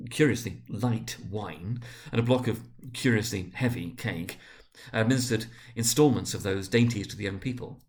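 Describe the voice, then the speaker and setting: Low-voiced man narrating dramatically. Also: gravelly. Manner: expressive, theatrical storytelling. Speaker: storybook reader.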